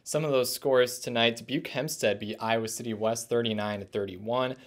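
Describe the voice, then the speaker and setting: A man's voice: medium pitch, fairly even intonation, a little fast. A young man hosting a sports recap, reading out scores in a scripted news style. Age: young adult. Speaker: sports show host. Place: small indoor room, plain wall.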